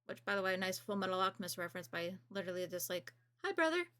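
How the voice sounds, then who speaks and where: Bright-voiced woman game streamer. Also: mid-range voice, big pitch swings, fast. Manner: blunt, informal, swearing. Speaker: game streamer reacting to and reviewing a demo. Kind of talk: casual gaming commentary.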